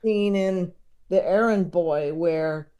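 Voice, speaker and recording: A man speaking as an interview guest, casually discussing comedy in a halting, chuckle-prone conversational way. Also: sits high, warm tone, smooth.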